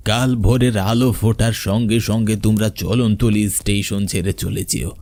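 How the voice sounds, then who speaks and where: A man voicing an elderly village grandfather in a horror cartoon, grave and protective, with husky, drawn-out dramatic warnings. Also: on the low side, fairly even intonation, a little mellow, lightly acted. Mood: grave, hushed.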